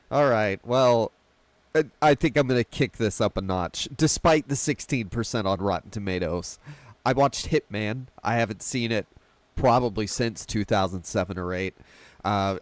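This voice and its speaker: A man's voice: on the low side, no echo. A man hosting a casual, conversational discussion about films in an informal way. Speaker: podcast host. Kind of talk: casual film discussion chat.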